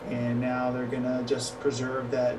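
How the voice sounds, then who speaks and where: Even-toned middle-aged man, halting delivery. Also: medium pitch, reverberant. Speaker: retired law enforcement agent as interviewee. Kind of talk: interview answers. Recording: distant, noisy broadcast monitor feed.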